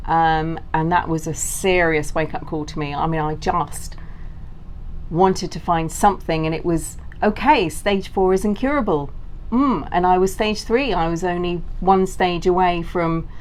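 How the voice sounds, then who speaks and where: A woman's voice: on the low side, measured pace, a little hiss or hum. A middle-aged woman being interviewed, telling her personal story conversationally as a guest. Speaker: interview guest, cancer survivor and author.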